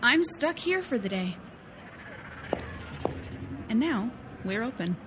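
An actress playing a stubborn, indignant shopkeeper in a science fiction audio drama, exasperated and defiant. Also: female voice, on the high side, sing-song, slow, background noise. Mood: businesslike. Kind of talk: sci-fi audio drama acting.

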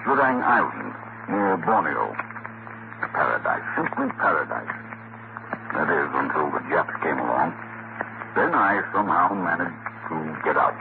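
A man's voice: very deep voice, sing-song, a little slow, gravelly, long pauses, exaggerated voice. A man acting a genial, easygoing gray-haired world traveler in a 1940s radio crime drama. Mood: reminiscing. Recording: muffled, noisy 1940s radio recording.

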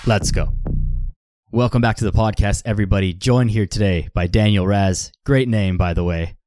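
A man's voice: fairly low pitch, moderate pace, a little mellow, dry recording, studio quality, faint music behind. A man hosting a podcast, asking questions in easy conversation, often repeating words as he gathers his thoughts. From Canada. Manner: repeats words while thinking, laughs along. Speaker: podcast host. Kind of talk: casual interview hosting.

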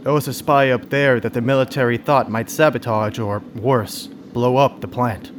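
A man's voice: medium pitch, fairly even intonation, moderate pace, slight background noise, natural voice. An actor in an audio drama playing a troubled, guarded young man of nineteen who speaks hesitantly. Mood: somber. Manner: hesitant, subdued. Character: troubled, guarded nineteen-year-old man, fatherless after war.

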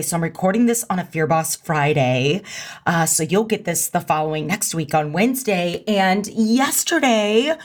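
Upbeat, slangy woman podcast host. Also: mid-range voice. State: slightly hoarse. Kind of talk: energetic podcast monologue.

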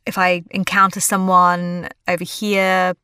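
A woman's voice: on the low side, completely flat delivery, measured pace, bright, forceful tone, clean tone, no echo, clean studio sound. An actress from Australia, chatting in an interview, laughing often and restarting her sentences. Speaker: actress and voiceover artist, interview guest. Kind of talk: casual interview conversation.

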